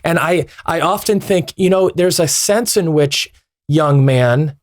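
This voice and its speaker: A man's voice: a little high, unhurried, no echo, clean studio sound. Reflective man with a raised voice. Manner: thoughtful, searching, poses long questions. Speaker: pastor. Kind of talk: podcast discussion.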